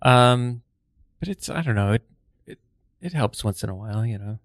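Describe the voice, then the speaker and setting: A man's voice: medium pitch, animated, normal speed, no echo, studio quality. A man talking casually as an improv teacher, hedging his opinions in a tentative way. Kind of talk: casual discussion.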